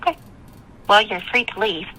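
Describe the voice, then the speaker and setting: A woman working as property management, speaking on a telephone in a formal, polite but firm way, halting and repeating herself. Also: mid-range voice, sharp tone, slight background noise, rough recording. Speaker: campground management staff. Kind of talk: formal customer phone conversation.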